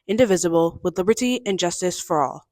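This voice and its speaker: A woman recites in a steady, even cadence. Her voice is slightly low. The recording is dry, with no room echo. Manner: measured recitation. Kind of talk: reciting the pledge.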